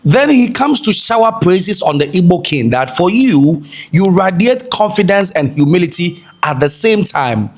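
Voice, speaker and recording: A man's voice: high-pitched, sing-song, normal speed, few pauses. A man with a Ghanaian accent giving emphatic explanatory commentary as a host, repeating key phrases. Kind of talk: explanatory commentary on a news clip.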